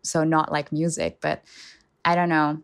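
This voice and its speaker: A young woman poet who grew up in Germany, with German as her first language, speaking in a measured, thoughtful way and pausing to search for words. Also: sits low, little pitch movement, vocal fry, clean tone, dry recording. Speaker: poet guest. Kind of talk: reflective conversation.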